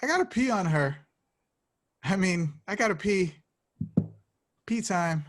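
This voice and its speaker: A woman's voice: fairly low pitch, expressive, slow. A woman playing an awkward, flustered young slacker guy from a band in a screenplay table read, blurting out lines.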